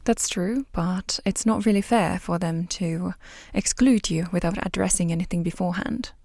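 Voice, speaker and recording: A woman playing a composed, formal interviewer in an audio drama, with a measured and polite manner. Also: fairly low pitch, gravelly, warm tone, dry recording, studio quality. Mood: probing. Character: composed, formal female interviewer screening diplomat candidates.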